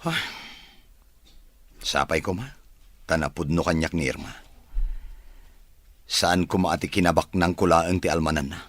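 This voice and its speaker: A man's voice: sits low, measured pace, pauses often, fairly bright tone, no room sound. A man playing an earnest, gullible, well-off middle-aged bachelor in love, in an Ilocano radio drama.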